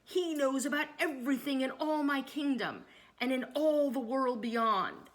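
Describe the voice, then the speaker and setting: A woman's voice: mid-range voice, very animated, slow, fairly bright tone. A woman voicing a commanding, blustering king in a children's storybook, with emphatic, projected delivery. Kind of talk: children's storybook read aloud.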